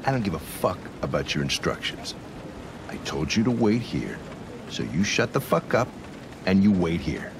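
An actor playing a gruff, bitter, hard-drinking older American police lieutenant in a video game, surly, sarcastic and foul-mouthed. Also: male voice, low-pitched, sing-song, brisk, background noise, full character voice. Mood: angry, commanding. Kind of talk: video game performance capture acting.

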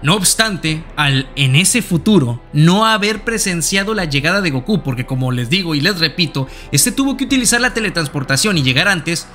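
A man with a fairly high, very bright and forceful voice narrates an anime explainer over background music. He speaks emphatically and breaks his scripted delivery with chatty asides to his viewers. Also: animated, moderate pace, hardly any pauses.

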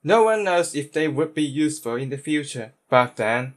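A man's voice: on the high side, expressive, moderate pace. A man reading a scripted monologue as an amateur voice imitator, attempting a British accent in imitated game character voices.

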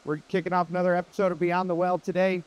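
A man's voice: high-pitched, flat delivery, quick pace. A man in his thirties presenting and interviewing in a casual, upbeat, conversational way as a video host. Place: industrial workshop, indoors. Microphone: clip-on wireless mic on shirt. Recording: clear background noise in workshop.

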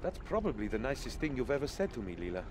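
A man's voice: medium pitch, sing-song, quick pace, background noise, natural voice. A man voicing a cocky, teasing young adventurer hero in a video game, playful and theatrical. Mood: wry, upbeat.

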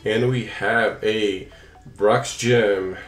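A man's voice: lowish voice, fairly even intonation, extremely slow, reverberant, soft background music. A young man chatting casually and playfully as he hosts a card-opening video, talking to viewers and his pet. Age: young adult. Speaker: host of a card-opening channel. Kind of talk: casual chat, running commentary on opening trading cards. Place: indoors, small room at home.

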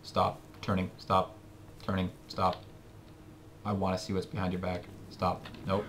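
A man with a raspy voice, casually commenting while he plays a game, joking and muttering asides. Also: deep voice, monotone, a little slow, a bit bright. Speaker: gameplay commentator. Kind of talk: casual let's play commentary. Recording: noisy home recording with game sounds.

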